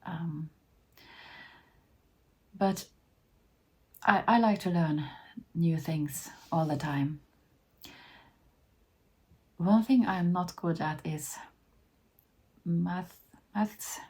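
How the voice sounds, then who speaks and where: A woman in her fifties from Sweden, whose first language is Finnish, talking casually to camera in a hesitant way with many soft restarts. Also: low-pitched, breathy edge. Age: 50s. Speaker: vlogger.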